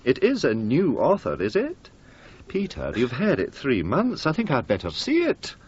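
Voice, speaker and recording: A man's voice: medium pitch, wildly swooping pitch, moderate pace, slightly rough. A man acting a breezy, slyly needling publishing colleague in a radio drama, quizzing in arch, clipped phrases. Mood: probing, needling. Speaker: actor in a radio play. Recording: dull 1980s radio recording.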